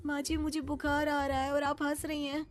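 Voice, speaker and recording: A woman's voice: high voice, monotone, moderate pace, soft background music, pitched above the performer's own voice, close to an everyday voice. A woman as an indignant young daughter-in-law. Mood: reproachful. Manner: reproachful, protesting.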